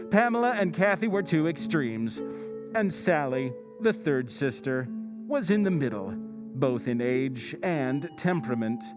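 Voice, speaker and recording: An actor playing a mysterious, ominous night-walking narrator in a radio drama, deliberate and measured. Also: male voice, on the high side.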